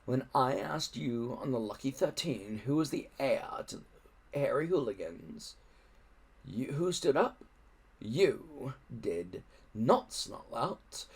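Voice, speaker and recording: A man voicing a sly, menacing storybook villain who speaks in a slow, drawn-out, insinuating way. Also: mid-range voice, animated, slightly rough, heavily acted. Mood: quiet menace. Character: sly, menacing adult villain, smooth and treacherous.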